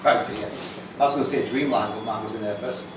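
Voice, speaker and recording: A man's voice: on the low side, steady tone, quick pace. A man chatting casually and calling out as a contestant in a pub quiz. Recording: distant, echoey pub, clear background noise.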